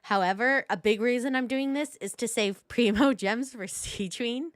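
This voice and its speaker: A woman's voice: fairly high pitch, moderate pace, no room sound. A woman game streamer giving chatty, informal live reactions into a close microphone. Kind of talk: casual live reaction commentary.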